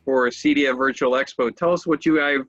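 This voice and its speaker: A man's voice: mid-range voice, a little fast, a bit bright, muffled recording, soft background music. A man hosting a trade interview, introducing his guest and putting structured questions to him. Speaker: trade press interviewer and host.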